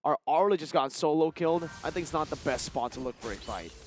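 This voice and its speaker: A man's voice: fairly high pitch, fast talker, soft background music. A man giving live play-by-play and analysis of an esports match in punchy, clipped phrases. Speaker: esports shoutcaster.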